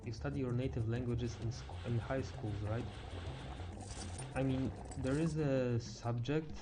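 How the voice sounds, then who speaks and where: A teenage male game streamer thinking aloud in casual live chat, halting and stumbling over words as he goes. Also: mid-range voice, fairly even intonation, over music. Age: teens.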